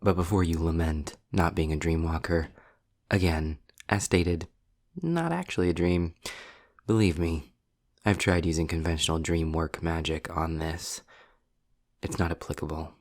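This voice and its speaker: A sardonic, weary demon, voiced by a man, speaks in a low register. The delivery is slow and deliberate, with breathy drops toward a whisper. It has the close, dry-witted feel of an intimate roleplay audio drama. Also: darkish tone. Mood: grim, explaining. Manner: sarcastic, deliberate, sighing. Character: sardonic, world-weary male demon trapped in hell.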